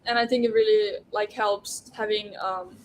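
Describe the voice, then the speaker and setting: A woman's voice: medium pitch, steady tone, moderate pace, slight background noise, rough recording. Young Slovenian-accented woman, many "likes". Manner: lots of "like" and "um", thoughtful. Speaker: college basketball player, guest. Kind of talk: casual interview chat.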